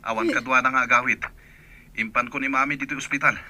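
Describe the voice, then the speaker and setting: A man's voice: mid-range voice, steady tone, sharp tone. A man acting a distressed son in an Ilocano radio drama, speaking urgently with an Ilocano accent. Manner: urgent, hurried. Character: distressed son reporting a house fire.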